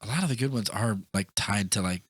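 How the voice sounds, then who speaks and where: A man co-hosting a podcast, talking casually about films in an animated, opinionated, rambling way. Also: fairly low pitch, quick pace, breathy edge, no echo. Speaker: podcast co-host. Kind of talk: casual film discussion.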